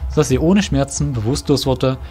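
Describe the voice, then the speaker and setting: A man's voice: medium pitch, brisk, warm tone. A man narrating a scripted fantasy audio drama as storyteller. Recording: music under the voice.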